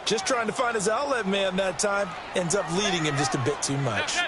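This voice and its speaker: A man's voice: high-pitched, normal speed, a loud crowd, heavy background noise, close to an everyday voice. A man with an American accent voicing a chummy football color commentator in a video game, explaining things in a conversational, folksy way. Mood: explanatory. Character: chummy male football analyst in the booth. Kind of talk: conversational sports analysis.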